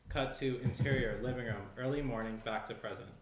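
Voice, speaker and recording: A man's voice: medium pitch, a little fast. A man in his thirties reading stage directions as scripted narration at a table read. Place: small indoor room at a table. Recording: roomy, faint background noise.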